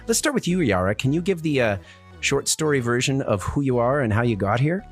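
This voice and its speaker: A man's voice: mid-range voice, big pitch swings, a little fast, soft background music. A middle-aged man hosting an interview show, introducing topics and putting questions to guests. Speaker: podcast host.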